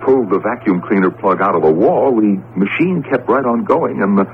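Radio drama husband: befuddled, stammering professor, low-ish voice with very animated swings. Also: male voice, a little fast. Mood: rattled. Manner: stammering, excitable, bewildered. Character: flustered, absent-minded college professor, earnest husband. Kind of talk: 1970s radio drama acting. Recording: noisy, phone-like old radio broadcast.

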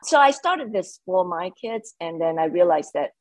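A woman's voice: on the low side, normal speed, dry recording, rough recording. A woman from Malaysia, talking earnestly as a guest founder in a casual interview. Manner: earnest, animated, slightly non-native English grammar.

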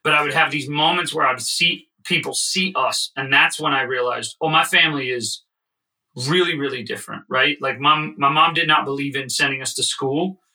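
Bright-voiced man telling stories on a podcast. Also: medium pitch, normal speed, distant mic, low-quality recording. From Midwest US. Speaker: podcast co-host. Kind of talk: casual podcast chat.

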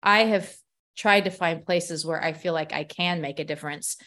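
A woman's voice: sits low, normal speed, fairly bright tone. A woman hosting a casual conversation over a call, speaking candidly and bluntly, laughing easily. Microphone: remote call.

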